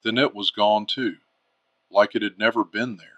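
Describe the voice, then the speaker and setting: A man acts out a retired fighter pilot major on a late-night radio drama call-in. His voice is bright and forceful. He talks bluntly and deliberately, with an uneasy candor. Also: on the low side, steady tone, muffled recording. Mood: uneasy, hushed.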